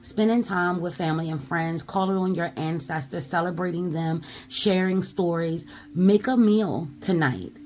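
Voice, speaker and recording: Loud, blunt low-voiced woman. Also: normal speed, hardly any pauses. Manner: emphatic, repeats "ashe" as affirmation; forceful, blunt, swears. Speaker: tarot reader. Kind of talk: spiritual message to viewers.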